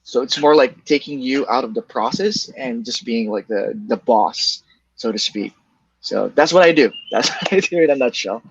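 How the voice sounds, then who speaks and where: A man from the Philippines with a Filipino accent, first language Bicol, answering interview questions in a halting, casual way with many restarts. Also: medium pitch, creaky, slightly rough, dry recording. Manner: halting, many restarts and fillers, laughs lightly. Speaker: guest, email copywriter. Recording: dull lo-fi sound.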